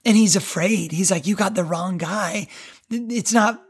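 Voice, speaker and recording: A man, a preacher, talking earnestly about faith on a podcast, with a raspy voice and many fillers. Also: high voice, unhurried. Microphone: podcast microphone.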